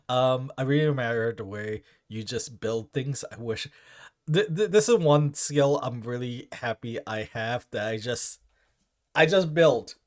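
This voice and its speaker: Young guy, deep voice, keeps stumbling and repeating words, chill gift-opening chat. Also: lively intonation, a little mellow. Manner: stammering, repeats words, halting. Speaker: Minecraft content creator. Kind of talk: casual chat while opening gifts.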